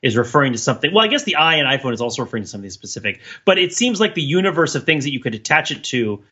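Bright, rambling male podcaster with dull audio. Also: medium pitch, very animated, very fast, slightly rough. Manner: rambling, mock-scholarly tangents. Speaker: podcast co-host. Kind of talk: casual comedic banter and riffing.

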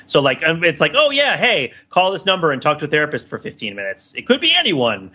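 Animated, husky man with a bright edge. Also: a little high, fast, highs cut off. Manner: animated, emphatic, rambling. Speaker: podcast panelist. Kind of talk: casual analytical discussion.

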